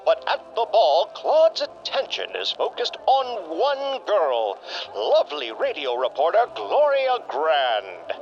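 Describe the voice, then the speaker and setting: Bombastic male radio-serial narrator, acted. Very high, swooping pitch, grandiose and pompous, over a muffled track. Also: huge pitch swings, slow pace, faint music behind, heavily acted. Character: bombastic old-time superhero serial announcer. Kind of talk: melodramatic radio play narration. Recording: muffled, noisy lo-fi audio.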